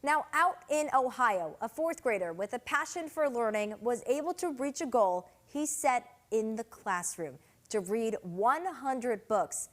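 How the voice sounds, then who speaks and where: A woman presenting upbeat news stories in a clear, scripted anchor delivery. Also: on the high side, expressive, measured pace.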